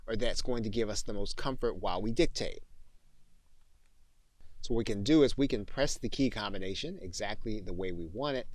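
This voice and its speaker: A man narrating an instructional screencast as a software trainer, explaining things methodically, step by step. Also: on the low side, a little fast, no echo.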